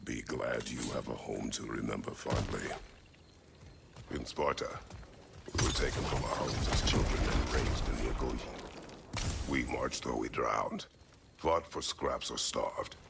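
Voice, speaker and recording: Casting: guilt-burdened, battle-worn game warrior; extremely low, heavily gravelled voice, terse formal delivery, noisy scene. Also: male voice, full character voice. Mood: stern. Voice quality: deep, gravelly. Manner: terse, formal, measured, weighty phrasing. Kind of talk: cinematic video game acting.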